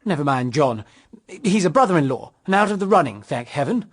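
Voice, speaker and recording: A man's voice: fairly high pitch, very animated, brisk. An actor with a British accent playing an earnest, persistent young woman's suitor in a period radio drama. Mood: dismissive. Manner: earnest, pleading. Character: ardent male suitor, earnest and persistent in love.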